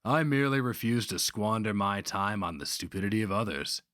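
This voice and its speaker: A man's voice: on the low side, expressive, fairly quick, a bit bright, dry recording, studio quality. A man voicing a canny, mocking older slaver and mentor in a dramatic fantasy reading, needling and emphatic. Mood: wry instruction.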